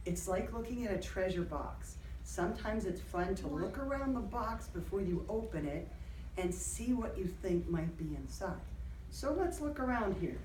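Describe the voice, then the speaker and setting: A woman teacher reading a picture book aloud to young children, animated and encouraging, stopping for simple questions and explanations. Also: medium pitch, normal speed. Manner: animated, encouraging, acts out sound effects. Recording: roomy, distant mic, faint background noise.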